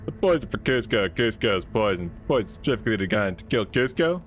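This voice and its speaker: A man voicing a dim, good-natured male henchman, talking slowly and earnestly. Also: on the low side, very animated, soft background music.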